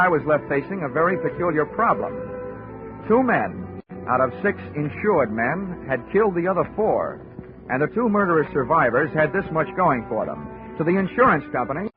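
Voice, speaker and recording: Wry detective narrator, lively voice, tinny old radio, music playing. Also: male voice, a little high. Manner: wry, wisecracking. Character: wisecracking male insurance investigator, adult. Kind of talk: 1940s radio drama narration.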